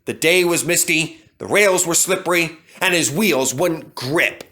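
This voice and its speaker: A man's voice: a little high, measured pace, bright, forceful tone. A man narrating a children's storybook as a scripted read-aloud.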